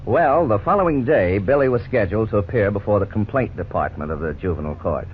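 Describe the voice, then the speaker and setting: A thin, lightly noisy vintage radio recording carries a male actor as a lawyer-narrator. His low voice is earnest and didactic, with measured, scripted authority in 1950s style. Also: animated, phone-quality sound, natural voice. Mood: earnest, explanatory.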